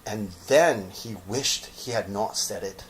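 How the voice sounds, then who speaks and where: A man narrating a children's storybook expressively, with deliberate, dramatic phrasing. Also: on the low side, a little hiss or hum, low-quality recording.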